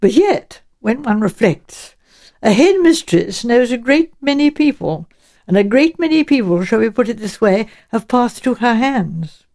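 A woman plays a learned older professor, a man who muses aloud in an audiobook mystery. His delivery is pedantic and deliberate, rising and dipping expressively as he weighs each thought. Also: fairly high pitch, sing-song, a little mellow. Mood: reflective. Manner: deliberate, musing, pedantic, with hesitant pauses. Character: learned older male professor, measured and ponderous.

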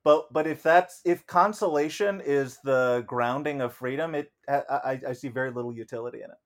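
A man's voice: mid-range voice, fairly quick. A man co-hosting a casual discussion, loose and joking, who swears offhandedly. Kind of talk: casual discussion of history and a play.

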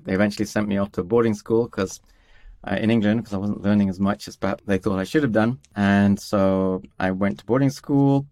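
A man's voice: lowish voice, darkish tone, no room sound, clean studio sound. A man from East Africa, a guest scientist on a podcast, telling his career story in a rambling, self-deprecating way with many fillers. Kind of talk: conversational podcast interview.

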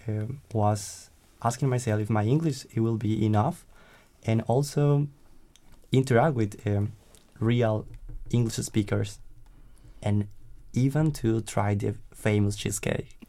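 A man's voice: mid-range voice, a little slow, mellow. A man from Colombia, an exchange student, introducing himself in a hesitant way with many filler sounds.

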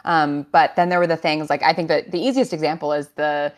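A woman's voice: deep voice, fairly even intonation, fairly quick. A woman speaking as a writer in a casual panel discussion, explaining her thinking thoughtfully. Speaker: audio drama writer on a Q&A panel.

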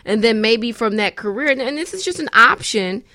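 A woman's voice: a little high, animated, normal speed, pushed and bright, no room sound, studio quality. A woman co-hosting casual radio chat, questioning points in a roundabout, conversational way. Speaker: radio show co-host.

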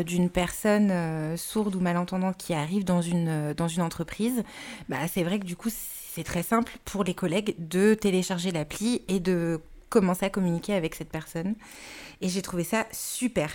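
A woman's voice: on the low side, dark tone. A woman presenting a podcast segment to listeners, enthusiastic and chatty, with frequent hesitations. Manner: enthusiastic, hesitant with many fillers and restarts. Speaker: podcast columnist. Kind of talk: casual chat reporting to listeners.

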